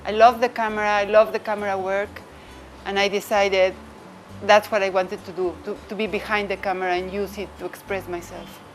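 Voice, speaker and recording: A woman's voice: medium pitch, flat delivery, fairly quick, soft background music, low-quality recording. A woman who works behind the camera, speaking earnestly about falling in love with camera work. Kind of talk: interview testimony.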